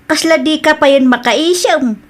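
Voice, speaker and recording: A woman acts out an older village storekeeper in an audio drama, motherly and a little nosy. Her voice is fairly high, with an Ilocano accent, and she speaks plainly. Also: moderate pace, close to an everyday voice. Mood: concerned.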